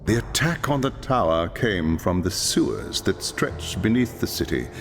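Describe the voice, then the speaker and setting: A grave elder elf lore master, hands out orders in a low, lively voice. His commanding, measured words ring with a long reverb over game music. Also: creaky, heavily acted. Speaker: quest giver.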